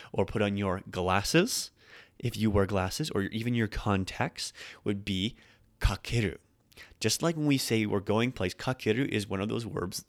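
Chill guy teaching japanese words, kinda low voice, upbeat and chatty, dry close mic. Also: no echo. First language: English. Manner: upbeat, conversational explanations. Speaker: podcast host teaching Japanese. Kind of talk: casual language lesson.

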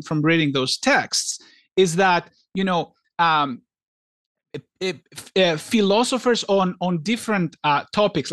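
A man from Greece co-hosting a podcast in casual chat, talking haltingly with many restarts and fillers. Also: on the high side, fairly bright tone, no room sound. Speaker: podcast co-host.

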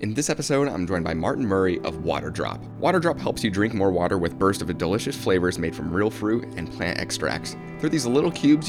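A man hosting a podcast, reading a scripted intro and then asking interview questions with short affirmations. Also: deep voice.

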